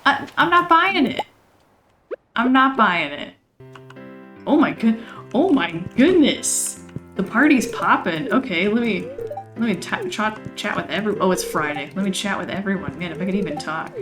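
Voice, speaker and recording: A chatty woman, somewhat hoarse from losing her voice, rambling through casual gameplay commentary with frequent laughs. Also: medium pitch, lively intonation, moderate pace. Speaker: let's play host. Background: game music.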